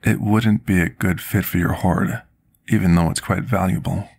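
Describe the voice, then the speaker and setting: Man playing a low, husky, gentle potion master. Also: steady tone, normal speed, full character voice. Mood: humble, hesitant. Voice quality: husky, gravelly. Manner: formal, polite, submissive, archaic wording. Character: gentle, timid, deferential male potion master serving a dragon. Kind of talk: soft-spoken ASMR roleplay.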